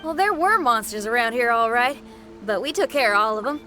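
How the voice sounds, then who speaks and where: Easygoing young female musician for a game, woman actor: high-pitched, animated, curious, expressive delivery. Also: brisk, music in the background, natural voice. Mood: casual, questioning. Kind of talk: video game character acting.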